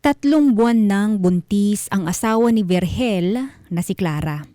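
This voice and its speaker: A woman with a Filipino accent narrating scripted horror stories as a radio host, in a deliberate, dramatic manner. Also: mid-range voice, expressive, warm tone.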